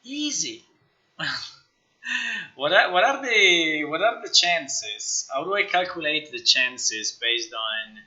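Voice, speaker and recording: Sharp-voiced man chatting on a livestream. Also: fairly high pitch, slow pace, dull audio. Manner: informal, excitable, repeats words and phrases. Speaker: live streamer, game developer. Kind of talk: casual livestream chat, thinking aloud while working.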